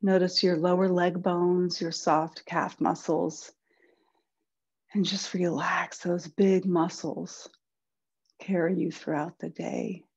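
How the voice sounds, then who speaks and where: A woman leading a guided body-scan meditation in a soft, breathy voice, gentle and soothing, with unhurried pauses. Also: fairly low pitch, flat delivery, lo-fi sound. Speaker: guided relaxation instructor.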